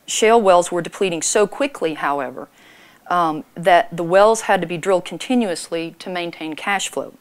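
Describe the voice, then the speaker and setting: Woman, middle-aged, low-pitched, measured explanatory delivery; lecturer at a meeting room talk. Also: smooth. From North Texas. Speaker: financial analyst speaker. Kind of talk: prepared talk to an audience. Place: indoor meeting room with projector screen.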